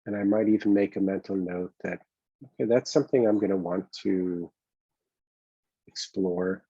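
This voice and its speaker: A man with a very low, husky voice guides a meditation in a gentle, improvised way. He takes his time, halting and leaving long pauses between phrases. The recording sounds dull and lo-fi. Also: steady tone. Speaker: meditation coach leading a guided exercise. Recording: dull, boxy, roomy lo-fi sound.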